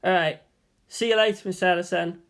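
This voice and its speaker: A woman voicing an earnest, odd teenage boy pilot with a dramatic, deliberate manner. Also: lowish voice, fairly even intonation, lightly acted. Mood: polite, coaxing.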